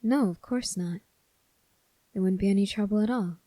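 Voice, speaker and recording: Woman as kind young male cadet for ASMR roleplay; relaxed dark tone, gentle and reassuring, very dry sound. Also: medium pitch, normal speed, no room sound, lightly acted. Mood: kind, polite. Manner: soft, gentle, reassuring, polite. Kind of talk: ASMR character roleplay, spoken to the listener.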